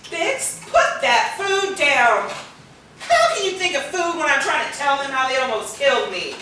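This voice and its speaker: A woman's voice: high voice, sing-song, unhurried, bright, forceful tone, exaggerated voice. A feisty, foul-mouthed woman played by a stage actress, nursing a wound and talking in brash slang. The sound is heavily echoing and distant, with faint noise, like a videotaped play. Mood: irritated, snapping. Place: theater stage, far microphone.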